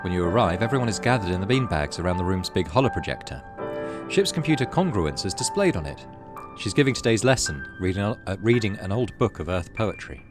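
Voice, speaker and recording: Low-pitched, lively man narrating a game. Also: fast talker. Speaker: gaming channel host. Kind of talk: casual playthrough commentary and read-aloud game narration. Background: game music.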